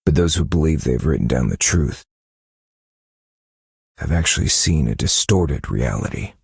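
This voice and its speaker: Brooding game detective, bottomless low register, heavy vocal fry, husky, analytical, contemplative, measured monologue. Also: male voice, animated, a little mellow, no room sound, clean studio sound, heavily acted. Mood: grave, reflective. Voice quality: gravelly, husky. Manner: measured, contemplative, musing to himself with murmured hums. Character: brooding, analytical male detective-novelist unraveling dark mysteries. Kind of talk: scripted game character monologue.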